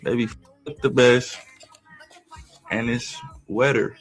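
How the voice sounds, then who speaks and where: A man's voice: mid-range voice, steady tone, slow, faint music behind. A man talking as a guest on a livestream, stammering and slangy in casual chat.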